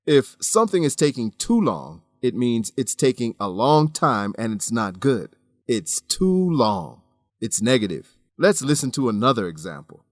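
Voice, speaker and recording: A man hosting an English lesson as scripted narration, measured, instructive and clearly enunciated. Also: mid-range voice, sing-song, dry recording, clean studio sound. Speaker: host and English teacher.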